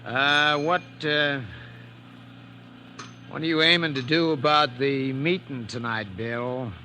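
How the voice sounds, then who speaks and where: Over a noisy vintage radio recording, a surly small-town American gas station hand. His voice is very hard and bright, and he drawls his suspicions out slowly and grudgingly. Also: mid-range voice, fairly even intonation. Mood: wary, pressing. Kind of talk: 1940s radio acting.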